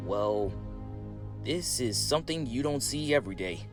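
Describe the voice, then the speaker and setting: A man voicing irritable, sarcastic turtle brothers in a forceful comic dub over music. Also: medium pitch, expressive, moderate pace, voice pushed up, lightly acted. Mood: sarcastic, challenging. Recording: loud music behind voice.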